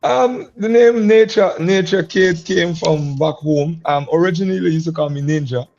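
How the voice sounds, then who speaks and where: A man's voice: on the high side, normal speed, creaky, a little mellow, faint music behind. Laid-back middle-aged Guyanese man, lo-fi. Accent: Guyanese, shaped by years in Antigua. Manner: easygoing, laughs often, calls the host "man". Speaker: guest musician. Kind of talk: casual interview chat.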